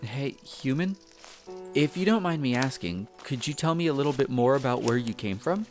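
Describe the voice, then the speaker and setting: A man's voice: mid-range voice, animated, normal speed, a little mellow, natural voice. Man playing an earnest young elf explorer, music behind. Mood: gentle, curious. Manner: hesitant, chatty, explains eagerly. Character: earnest, bookish young male elf explorer, easily flustered apprentice. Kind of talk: scripted roleplay audio drama addressed to the listener.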